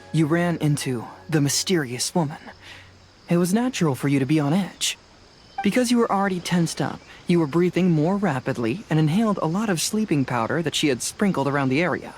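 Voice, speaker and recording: An actor playing a composed young detective in a video game, explaining things in a measured, articulate, confident way. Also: male voice, on the high side, natural voice.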